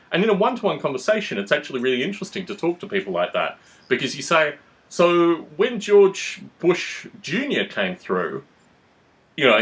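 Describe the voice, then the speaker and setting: A man's voice: on the low side, fairly bright tone. A man hosting a conversational discussion, explaining his views in a long-winded, analytical way. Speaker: podcast host.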